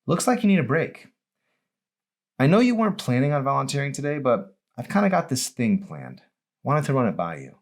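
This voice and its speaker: A man voicing a spirited, sharp-tongued teenage girl in an audiobook, teasing and forthright. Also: mid-range voice, very animated, fairly quick, voice pushed up. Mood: hopeful.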